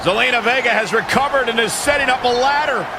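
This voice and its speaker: A man playing an excitable, incredulous play-by-play announcer, calling a wrestling match in a video game. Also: extremely high pitch, quick pace, background noise. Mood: excited. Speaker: play-by-play commentator. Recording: loud arena crowd and slams.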